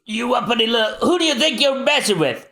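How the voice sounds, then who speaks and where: A man voicing a brash, hot-headed male shipwright gang boss in a loud, high, shouting voice. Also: animated, quick pace, reverberant, exaggerated voice. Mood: angry.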